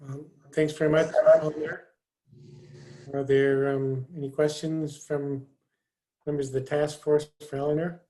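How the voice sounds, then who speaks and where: Flat, dark-voiced woman chairing a meeting. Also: low voice, unhurried, rough recording. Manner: brief and procedural. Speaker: task force chair. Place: video call.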